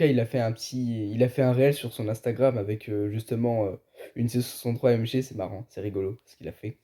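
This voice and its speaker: A man's voice: mid-range voice, warm tone. A man giving his opinions in a casual, colloquial car vlog, sounding tired and a bit ill with flu. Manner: colloquial, addresses viewers as buddies. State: under the weather with a flu-like illness, tired. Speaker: car video host.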